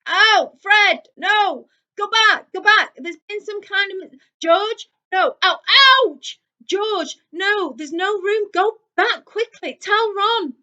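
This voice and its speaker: A woman doing a frantic, excitable wizard dad. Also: on the high side, slow pace, husky, heavily acted. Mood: panicked. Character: excitable middle-aged wizard father.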